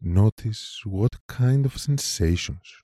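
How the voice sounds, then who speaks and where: Very deep, slow male meditation guide. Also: no room sound, studio quality. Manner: calm, unhurried, measured with long pauses.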